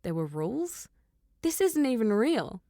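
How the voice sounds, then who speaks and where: A woman's voice: fairly high pitch, big pitch swings, a little slow, mellow, no room sound, pitched above the performer's own voice. Woman acting a bewildered teen girl, exasperated, flustered, incredulous, audiobook dialogue, dry studio-close sound. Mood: confused, incredulous.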